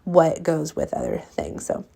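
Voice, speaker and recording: A woman in her thirties, hoarse and breathy while recovering from illness, chatting casually as she makes a card and laughing often. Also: low-pitched, huge pitch swings, measured pace, warm tone. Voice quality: croaky and breathy. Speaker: card-making crafter. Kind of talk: casual crafting vlog.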